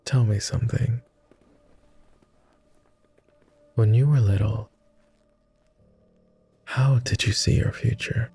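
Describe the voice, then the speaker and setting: A man voicing a gentle, devoted vampire, speaking softly and formally in an intimate roleplay ASMR style. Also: mid-range voice, steady tone, a little slow, heavily acted. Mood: wistful. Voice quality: soft, gravelly. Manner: posh, formal, tender. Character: gentle, teasing male vampire, devoted and wistful.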